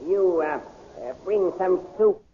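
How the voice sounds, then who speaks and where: An actor playing a fussy, pompous court magician in a 1930s radio serial, hesitant and mumbling, with stammered starts. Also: male voice, high voice, slow pace. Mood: fussy, condescending. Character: doddering, fussy male court magician of an evil queen. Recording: dull, noisy old radio recording.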